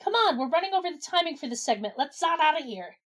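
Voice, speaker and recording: A woman playing a brisk, wry gnome adventurer in a comedy audio drama. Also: high voice, sing-song, fairly bright tone.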